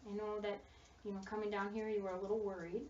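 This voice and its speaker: Gentle woman school social worker. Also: mid-range voice, flat delivery, fast, slight background noise. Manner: gentle, reassuring, frequent fillers and affirmations. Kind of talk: counseling role-play. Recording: distant, roomy, dull recording.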